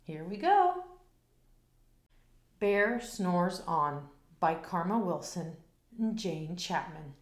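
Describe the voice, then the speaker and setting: Slow, expressive woman reading a storybook. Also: fairly low pitch, roomy sound. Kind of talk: children's picture-book read-aloud.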